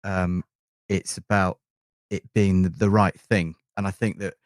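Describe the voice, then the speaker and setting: A man's voice: sits low, little pitch movement, a little slow, no echo, clean studio sound. A middle-aged man with an English accent, talking casually as a musician guest in an interview. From England.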